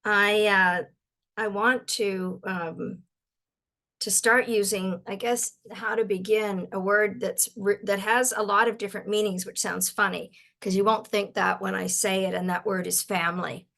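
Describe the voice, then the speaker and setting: An older woman from Ontario giving a webinar talk, her voice a little croaky, halting often with fillers and restarts. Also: medium pitch, steady tone, fairly bright tone. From Ontario, Canada. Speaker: guest speaker.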